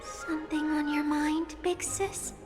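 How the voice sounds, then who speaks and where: A woman's voice: high-pitched, little pitch movement, unhurried. A woman voicing a sweet, innocent little girl in a video game, earnest, polite and admiring. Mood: gentle. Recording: loud music under the voice.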